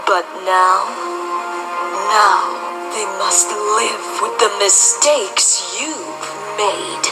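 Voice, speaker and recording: A woman acting a tormented, bitter and haughty pony princess in a theatrical fan audio drama. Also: medium pitch. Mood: cold, bitter. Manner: theatrical, slow, heavy pauses. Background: dramatic music underneath.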